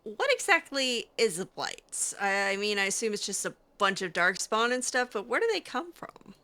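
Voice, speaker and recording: A woman's voice: medium pitch, very animated, normal speed, bright, forceful tone. A woman voicing a skeptical, curious young mage in improvised game roleplay, hesitant and questioning with sardonic asides. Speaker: roleplay gamer.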